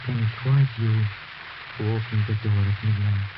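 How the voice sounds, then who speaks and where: An actor playing an earnest, lovesick man longing for his dead beloved in a 1940s radio drama, halting and uneasy. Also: fairly low pitch, fairly even intonation, over loud music, natural voice. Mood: relieved, yearning. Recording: noisy, dull 1940s radio recording, rain-like hiss.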